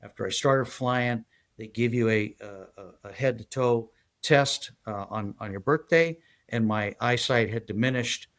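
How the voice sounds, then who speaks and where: A man in his sixties from San Diego, a guest telling his life story in a halting, rambling way full of fillers. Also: mid-range voice, a bit bright. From San Diego, USA. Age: 60s. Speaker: guest, retired federal agent. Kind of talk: casual interview storytelling.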